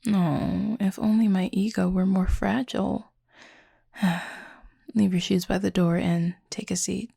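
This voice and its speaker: A woman voicing a confident, flirty werewolf pack leader in an intimate audio roleplay, with a husky, breathy, gravelly voice and a teasing, sardonic drawl. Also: medium pitch, fairly even intonation, takes it slowly, dark tone, dry recording, clean studio sound, heavily acted.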